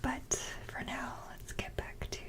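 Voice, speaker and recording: A woman host giving an ASMR show-and-tell in a soft, breathy whisper, with a gentle, halting delivery.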